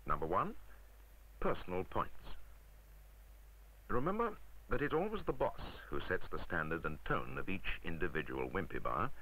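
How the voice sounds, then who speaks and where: A British man with a deep voice and very animated intonation narrates a vintage 1960s instructional film. He is wry and emphatic, putting rhetorical points to the listener in a crisp scripted style, with a bright, forceful edge. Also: normal speed, pauses often, slight background noise, poor audio.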